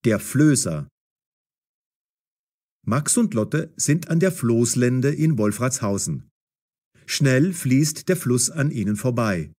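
A man narrating a scripted story for language learners, articulating clearly and expressively. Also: mid-range voice, animated, slow, pauses often, a little mellow.

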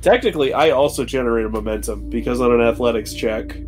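Male player, dry self-mocking humor, swears, clear music bed behind. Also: mid-range voice, fast, a little mellow. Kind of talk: casual tabletop play.

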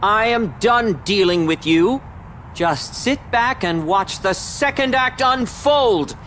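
A man's voice: high voice, expressive, slow pace, background noise. Theatrical, swooping male villain. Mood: menacing. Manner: taunting, grandiose, theatrical. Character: vain, theatrical male villain, mocking and scheming. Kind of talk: video game cutscene acting.

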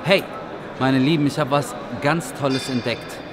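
A man in his thirties, a barber, giving an excited, chatty vlog tour at a trade fair. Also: mid-range voice, normal speed, music in the background. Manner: upbeat, enthusiastic, chatty. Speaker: vlogger and barber. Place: busy indoor convention hall. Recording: crowd chatter behind.

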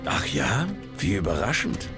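A man's voice: low-pitched, sing-song, a little slow, exaggerated voice. A man playing a wry, cautious adventurer in a game, with a dry, understated delivery. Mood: sarcastic. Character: wry, cautious male adventurer, level-headed companion. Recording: music clearly under dialogue.

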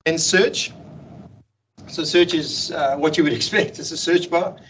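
A man presenting a technical meetup talk over slides on a video call, explaining in a relaxed way with frequent ums and restarts. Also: a little high, measured pace. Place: home room on a video call. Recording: dull lo-fi video call audio with faint hiss.

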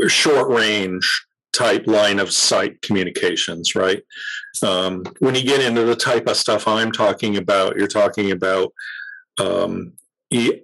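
A man in his fifties, an expert guest on a video call, explaining technical matters casually with hesitant pauses. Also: on the low side, fairly bright tone, low-quality recording. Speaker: interview guest, radio communications expert. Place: home room, on a video call.

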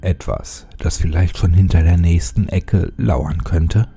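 Male narrator for creepy audiobook channel, deep register, husky and heavily mellow, unhurried. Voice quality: husky, dark, low. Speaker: horror story narrator and channel host. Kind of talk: casual channel address, then dramatic horror audiobook narration.

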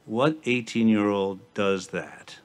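A middle-aged man, a school headmaster, giving a formal commencement address at a podium outdoors, speaking in measured, rhythmic lists. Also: on the low side, steady tone, smooth. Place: outdoor stage at a campus ceremony. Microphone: podium microphone with PA.

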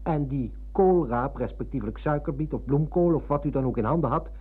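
A man playing a polite, insistent, probing middle-aged visitor in a radio drama, with a husky voice. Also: mid-range voice, a little fast, close to an everyday voice. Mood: coolly accusing. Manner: formally courteous, insistent. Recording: muffled, narrow-band old radio recording.

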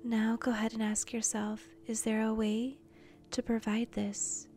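Slow, soft woman guiding a meditation. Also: a little high, flat delivery. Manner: gentle, soothing, short phrases with long pauses. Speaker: meditation guide, channel host. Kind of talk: guided meditation.

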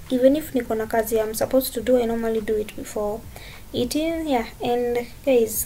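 A woman's voice: a little high, warm tone, slight background noise, low-quality recording. A young adult woman with a Kenyan accent, chatting casually as a vlogger. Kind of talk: casual vlog chat, mixing English and Swahili.

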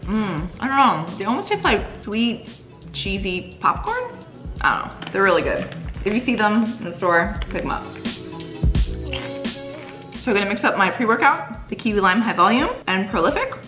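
Woman in her thirties, upbeat fitness vlogger, chatty, handheld camera, loud music underneath. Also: mid-range voice, very animated, normal speed, long pauses. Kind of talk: casual vlog to camera. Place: home kitchen, gym and restaurant.

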